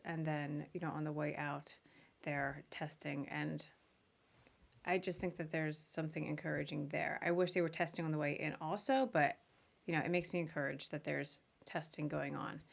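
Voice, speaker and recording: A woman's voice: low voice, creaky, sharp tone. A woman hosting a podcast interview in an informal, chatty, conversational way.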